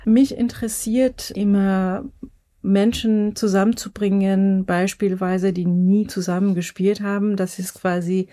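Slow-speaking Iranian woman with a Persian accent. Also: mid-range voice, fairly even intonation, warm tone, dry recording, clean studio sound. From Iran. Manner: non-native German with irregular grammar and searching phrasing. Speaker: musician and festival director, interview guest. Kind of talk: radio interview conversation.

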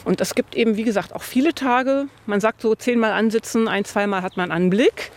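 A woman in her fifties talks in a relaxed, thoughtful way about hunting, full of little fillers. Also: mid-range voice, brisk. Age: 50s. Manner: thoughtful, lots of fillers like tatsächlich and also. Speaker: hunter interviewed about her hobby. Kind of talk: relaxed conversational storytelling. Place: outdoors by a field.

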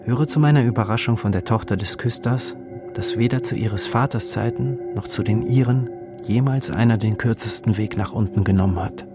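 A man's voice: on the low side, normal speed, over loud music. A man reading a literary travel diary as scripted narration, in clipped, terse phrases.

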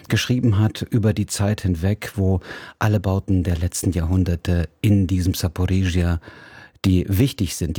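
Low guy w a mellow husky voice, radio host, calm, dry studio sound. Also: no room sound. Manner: calm, measured, speaks German and Russian. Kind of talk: radio interview with simultaneous interpreting.

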